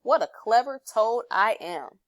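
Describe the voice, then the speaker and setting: Woman playing a pompous, excitable storybook toad gentleman. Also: on the high side, a little slow, no echo, clean studio sound. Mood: smug. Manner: pompous, exclamatory. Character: conceited, excitable male toad, dramatic and boastful. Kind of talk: children's storybook read aloud.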